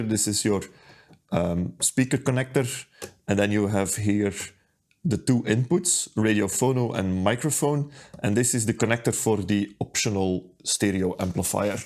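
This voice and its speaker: A man talking through a hands-on repair at his workbench, unhurried and thinking aloud with plenty of ums and uhs. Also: lowish voice, darkish tone. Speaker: repair video host. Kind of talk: casual how-to narration.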